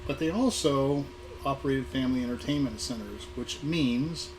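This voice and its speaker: A middle-aged or older American man reminisces in an informal talk, his voice hoarse and sitting at an average pitch. He speaks haltingly, repeating small words and pausing to find the next one. The live recording has clearly audible background noise. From Southern California. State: hoarse, getting over an illness. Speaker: guest speaker, museum programming manager. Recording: noisy live recording with room ambience.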